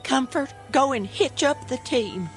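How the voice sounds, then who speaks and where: A woman's voice: sits high, expressive, measured pace, soft background music. An actress with a rural American accent playing a stern, superstitious, protective middle-aged mother in a radio drama. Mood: stern, foreboding.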